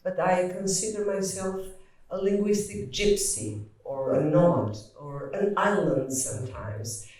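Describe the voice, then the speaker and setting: An Albanian-accented woman author speaks in a mellow, darkish voice, reflective and storytelling, often restarting mid-phrase. She sounds set back from the mic in a roomy, echoing hall. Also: on the low side, a little slow. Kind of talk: panel conversation. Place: hall at a literary event. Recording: echoey hall, far from mic.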